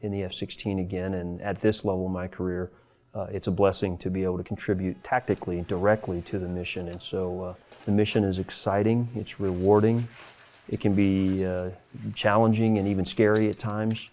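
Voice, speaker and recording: A middle-aged man, a military commander, speaking earnestly and haltingly in a documentary interview. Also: low voice, flat delivery, darkish tone. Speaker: air force wing commander and fighter pilot.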